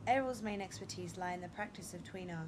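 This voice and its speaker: A woman reading scripted documentary narration in a measured, clear and informative way. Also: lowish voice, background noise. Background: loud background music.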